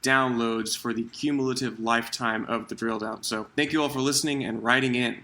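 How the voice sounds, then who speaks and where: A man's voice: medium pitch, steady tone, a little fast, bright, forceful tone, slight background noise. A man, the podcast's producer, giving plain, matter-of-fact updates about the audience. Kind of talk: casual conversational podcast.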